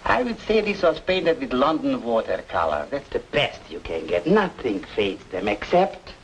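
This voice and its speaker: A helpful, musing small-town shopkeeper in an old radio drama. His medium-pitched voice is very animated, with faint noise behind it. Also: moderate pace. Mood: puzzled, helpful. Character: helpful, chatty small-town art shop man. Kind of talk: old-time radio noir drama acting.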